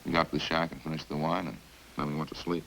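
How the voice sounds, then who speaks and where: A very low, creaky-voiced killer in an old radio crime drama. He rambles casually and folksily, calm as he confesses, on a faintly hissy vintage recording. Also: quick pace, full character voice, low-quality recording. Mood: matter-of-fact. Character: chatty, hungry male killer calmly confessing over supper.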